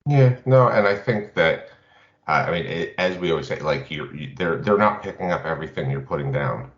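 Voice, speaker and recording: Very deep-voiced man in a roomy space. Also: animated, brisk. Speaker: podcast co-host. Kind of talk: casual panel discussion on tabletop role-playing. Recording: roomy, dull band-limited audio.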